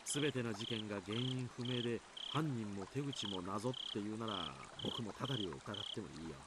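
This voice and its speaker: A man's voice: fairly low pitch, rapid-fire, very noisy, lightly acted. An actor voicing an easygoing, casual adult man in a mystery game. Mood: reassuring.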